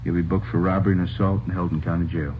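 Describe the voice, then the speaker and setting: A man's voice: low-pitched, little pitch movement, rapid-fire, natural voice. A man acting a firm, formal, by-the-book senior police officer in an old radio drama, on a tinny, hissy recording. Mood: stern.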